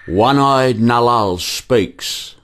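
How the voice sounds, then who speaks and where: A man's voice: medium pitch, extremely slow. A man giving scripted historical narration in a radio play. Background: guitar music.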